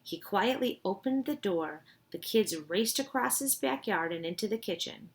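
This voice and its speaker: A woman's voice: medium pitch, expressive, moderate pace. A woman reading a children's storybook aloud expressively, with informal asides to her listeners.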